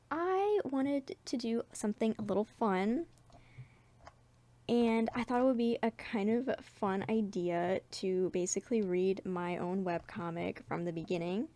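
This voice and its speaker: A woman's voice: mid-range voice, a little mellow, no echo. A woman chatting casually to viewers as a video creator, informal, giggly and self-deprecating. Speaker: webcomic artist and video creator.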